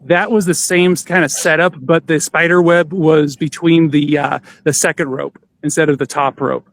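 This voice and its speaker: Male podcast host, higher-pitched voice, casual chat. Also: fairly even intonation, normal speed.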